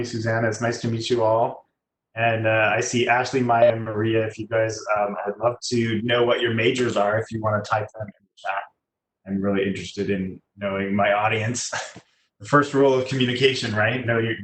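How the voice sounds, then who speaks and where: A man speaking as a guest, giving a casual, halting, chatty career talk to students. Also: on the low side, fairly bright tone. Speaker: guest speaker, musician and designer. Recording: echoey room, faint background noise.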